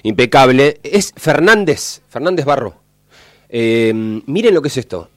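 Male radio co-host, Argentine accent. Average pitch, conversational on-air delivery, very little room sound. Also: big pitch swings, measured pace, dry recording, clean studio sound. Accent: Argentine Spanish. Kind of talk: casual on-air banter and reading listener messages.

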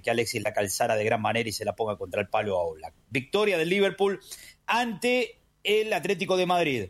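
A man with an Argentine accent hosting a sports show, analysing football in an emphatic, rhetorical manner with deliberate pauses. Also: mid-range voice. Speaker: sports radio host.

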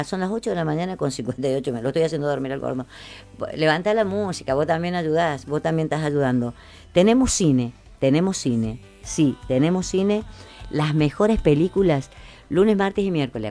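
A woman's voice: low-pitched, lively intonation, fairly quick, dark tone. A woman with an Argentine accent hosting a casual radio chat, chatty and colloquial, repeating phrases for emphasis. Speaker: radio host.

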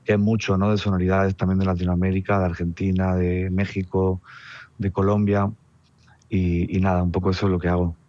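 A Spanish man from Murcia with a southern accent, a musician talking about his tour in a relaxed, reflective way over a phone line. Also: low voice, a little fast, no room sound. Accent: southern Spain, Murcian. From Murcia, Spain. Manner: relaxed, reflective, with fillers like "pues" and "nada". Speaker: musician guest. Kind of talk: phone interview. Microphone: telephone line.

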